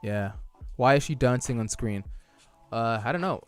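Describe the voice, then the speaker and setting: A man's voice: medium pitch, normal speed, clear, resonant tone, faint music behind. A young man live-streaming, reading viewer chat aloud and answering it casually, his voice wearing out from a long stream. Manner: chatty, reads chat aloud and answers it, loose restarts. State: losing his voice.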